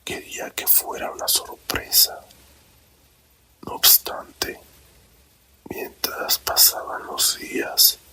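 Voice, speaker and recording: A man softly whispering a romantic story in a slow, deliberate ASMR narration, close to the microphone. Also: poor audio. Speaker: storyteller reading own written story.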